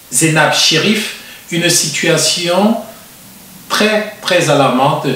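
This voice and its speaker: A man hosting a TV interview show, speaking in a measured way with frequent hesitations. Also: fairly high pitch, fairly bright tone. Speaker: TV show host. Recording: roomy echo, distant mic.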